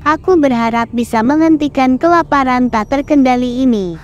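An actress playing a gentle young princess in a dubbed cartoon, speaking in a pleading, sorrowful way. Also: female voice, sits high, normal speed, lo-fi sound, close to an everyday voice.

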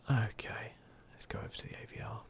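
A man hosting an ASMR video game playthrough, commenting in a hushed voice that drifts between soft speech and whispering, close to the microphone. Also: fairly quick. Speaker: gaming video host.

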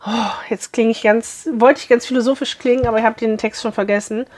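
A woman in her thirties with a hoarse voice, chatting cheerfully to viewers as she unboxes a beauty box in a small room. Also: fairly high pitch, fairly even intonation, a little fast, darkish tone.

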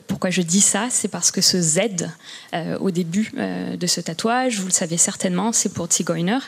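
A woman's voice: mid-range voice, gravelly. A young woman, an art historian on a panel, explaining carefully with many fillers into a handheld mic in a lecture hall.

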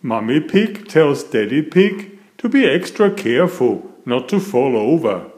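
Slow, expressive man reading a picture book. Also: mid-range voice, echoey room. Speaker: picture book reader and author. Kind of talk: slow read-aloud storytelling for young children.

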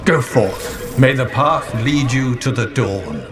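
Ancient trial-master for a game, solemn and archaic, mid-range voice in big echo. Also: male voice, medium pitch, very animated, slow. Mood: solemn, grave. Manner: grave, archaic, declamatory. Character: solemn male ancient lancer lore-keeper presiding over trials. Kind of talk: scripted ceremonial game narration. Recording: echoey voice over game music.

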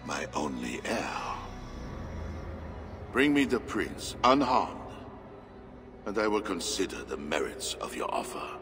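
A man's voice: low-pitched, big pitch swings, music in the background, full character voice. A scheming, sneering king. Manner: slow, deliberate, sneering. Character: scheming portly Frankish king, menacing, mocking. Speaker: king Charles. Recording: game score under a long-reverb hall.

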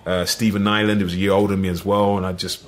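A middle-aged man with an East London accent, telling lively stories as a podcast guest, full of "you know what I mean". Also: low voice, rapid-fire. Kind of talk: casual storytelling.

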